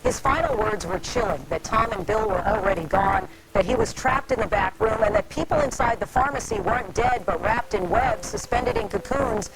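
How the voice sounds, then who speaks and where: A woman's voice: on the high side, animated, moderate pace, vocal fry, few pauses, low-quality recording. A woman playing a grave, urgent field reporter under siege in a scripted apocalyptic drama, heard through static. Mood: grave, alarmed. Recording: heavy static and noise over field transmission.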